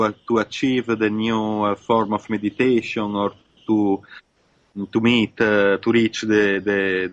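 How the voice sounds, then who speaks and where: Guy rambling thoughtfully w lots of uhs, muffled lo-fi sound, no room echo. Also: fairly low pitch. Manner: hesitant, many fillers and restarts. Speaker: guest. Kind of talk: reflective conversation. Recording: dull lo-fi dry sound.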